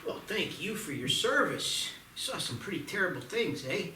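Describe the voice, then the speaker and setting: A man's voice: high-pitched, big pitch swings, normal speed, pushed and bright, exaggerated voice. A man at a screenplay table read playing a skeptical, practical small-town sheriff in a dry, conversational way. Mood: probing, doubtful. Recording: noisy, echoey room, distant mic.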